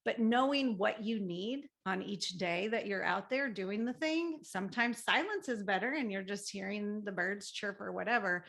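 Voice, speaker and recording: A woman's voice: medium pitch, normal speed, vocal fry, few pauses, a bit bright. Eager, affirming woman podcast host. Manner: eager, affirming, chimes in with agreement. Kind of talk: conversational podcast interview.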